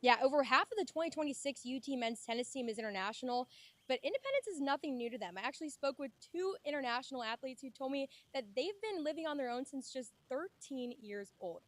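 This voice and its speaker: A young woman field reporter delivering a news report in a brisk, lively way. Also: high voice. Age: young adult.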